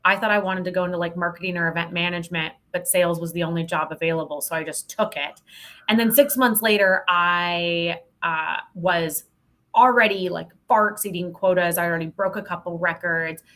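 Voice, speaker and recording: A woman's voice: fairly low pitch, steady tone, brisk, fairly bright tone. An American woman chatting as an interview guest, candid and playful, with emphatic phrasing. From Montana, United States. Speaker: podcast guest, sales professional. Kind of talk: casual interview chat.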